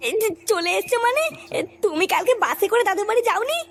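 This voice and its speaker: A woman voicing an excitable, cheeky cartoon son with a whiny, exclamatory delivery. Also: extremely high pitch, quick pace. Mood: startled. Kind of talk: comic cartoon dialogue. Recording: faint music behind the voice.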